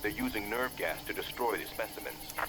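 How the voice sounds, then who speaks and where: A man playing a brisk, dry mission handler giving instructions in a video game. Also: on the low side, very noisy, close to an everyday voice. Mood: dry, instructive. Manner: businesslike, dry, urgent instructions.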